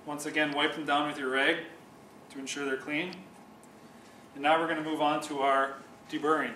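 A young man calmly explaining, step by step, how to sharpen speed skates, pausing now and then to restart a phrase. He is recorded in a large office room with a whiteboard. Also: a little high, recorded from a distance, noisy background. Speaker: speed skating sharpening instructor. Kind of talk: instructional demonstration. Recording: echoey room, voice from off-mic.

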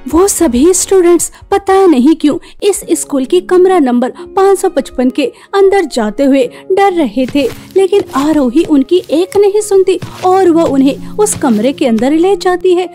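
Female horror narrator: extremely high yet mellow, unhurried scripted storytelling over quiet music. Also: animated.

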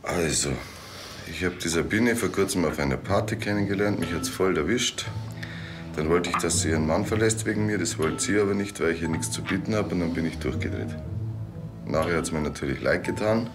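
A man's voice: low-pitched, brisk, over music. An actor playing a cool, sardonic male murder suspect in a TV crime comedy. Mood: resigned, confessing.

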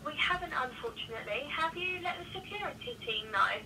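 A woman working as a shop assistant, taking a customer call, heard through a phone loudspeaker. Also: high-pitched, animated, a little fast. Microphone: phone speaker picked up by camera. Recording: distant phone loudspeaker, faint room noise.

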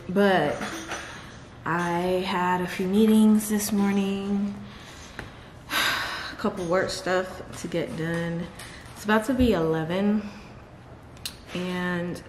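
Chatty woman vlogging in echoey room. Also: fairly low pitch. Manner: chatty, laughs often, talks to her dogs. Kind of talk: casual vlog chat to camera. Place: large bright living room at home. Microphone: camera on a table close by. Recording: echoey room with clear background noise.

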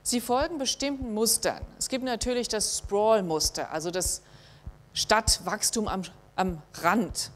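Middle-aged woman giving a uni lecture, lots of ums, calm and measured, lecture hall. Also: medium pitch. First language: German. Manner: measured, thinking aloud with frequent fillers. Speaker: university lecturer. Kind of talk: academic lecture with slides.

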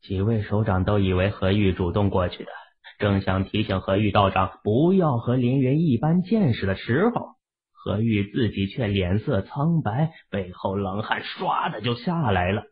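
A man's voice: fairly low pitch, animated, normal speed, husky. A man narrating a novel from a script in a dramatic storytelling style, with narrow, muffled sound. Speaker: audiobook narrator.

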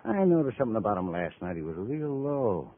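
Gruff, friendly male hotel detective, American, very lively pitch, tinny old radio recording. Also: fast talker. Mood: sober, puzzled. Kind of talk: 1950s radio drama acting.

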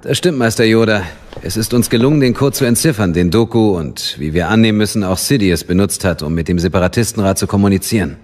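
An actor playing a dutiful, respectful intelligence captain, formal and factual, in a radio drama. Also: male voice, lowish voice, fast, darkish tone, close to an everyday voice.

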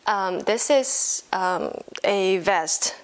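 Young woman w chinese accent just chatting to camera, kinda hesitant, crackly fry in her voice. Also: mid-range voice, expressive, very slow. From China. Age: 20s. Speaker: knitting podcast host. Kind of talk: casual chat to camera. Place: living room at home, indoors.

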